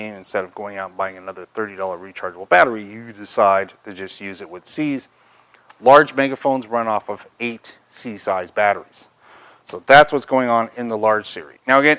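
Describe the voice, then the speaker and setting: Male product reviewer, medium pitch, unscripted and explanatory, very little room echo. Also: fairly bright tone. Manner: explanatory, conversational.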